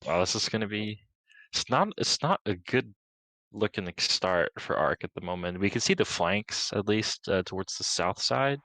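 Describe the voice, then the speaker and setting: A man's voice: on the low side, expressive, normal speed, bright, forceful tone, no echo, rough recording. A man co-commentating a competitive shooter match in a casual, joking, self-deprecating way. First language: English. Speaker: esports co-caster. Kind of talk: game commentary.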